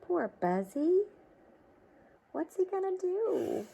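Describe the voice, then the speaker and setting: A woman's voice: very high-pitched, very animated, slow, creaky, dark tone, a little hiss or hum. A woman reading a picture book aloud to children, expressively, with coaxing questions and asides to her listeners.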